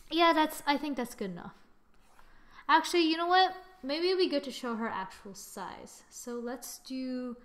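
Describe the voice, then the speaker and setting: Female tutorial presenter, slightly high voice, informal step-by-step delivery, thinking aloud. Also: lively intonation, normal speed, a bit bright. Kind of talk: casual software tutorial narration.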